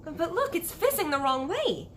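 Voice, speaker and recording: A woman reader plays a small storybook girl, curious and polite. She questions patiently, her pitch moving in a lively way. There is noise behind the voice. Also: normal speed, full character voice.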